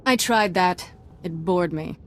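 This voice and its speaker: An actress playing a young, arrogant, ruthless cult leader in a video game, haughty and taunting. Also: female voice, fairly low pitch, takes it slowly. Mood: smug, mocking.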